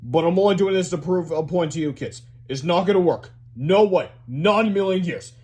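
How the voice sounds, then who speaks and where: Man acting a smug, scoffing scientist dad, slightly high. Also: animated, a little fast, darkish tone. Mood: smug, dismissive. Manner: condescending, mocking. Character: smug, pompous scientist father, condescending and scoffing.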